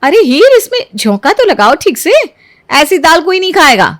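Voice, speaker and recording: A woman's voice: extremely high pitch, very animated, normal speed, a bit bright, pitched above the performer's own voice, full character voice. A woman storyteller voicing a jealous, argumentative young housewife who complains and mocks her in-law. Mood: scolding, boastful. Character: jealous, sarcastic elder daughter-in-law, young adult housewife.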